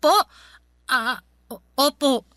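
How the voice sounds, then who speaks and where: High-voiced, meek Filipino schoolgirl. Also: slow pace, fairly bright tone, no room sound. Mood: nervous, apologetic. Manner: polite, hesitant, pleading. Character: meek, apologetic teenage Filipino schoolgirl, dutiful daughter. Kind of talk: radio drama acting.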